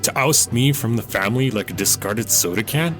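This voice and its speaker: A man's voice: mid-range voice, expressive, brisk, music in the background, natural voice. An actor playing a young man confronting his sister in an audio drama, earnest and reproachful. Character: Otto, young man breaking from his family.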